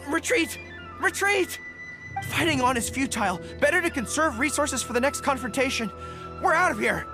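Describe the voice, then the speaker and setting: Flustered young dog-boy general, anime game style, earnest n awkward, pitch all over, music behind. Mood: panicked, defiant. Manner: earnest, hesitant, boyish. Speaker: video game character.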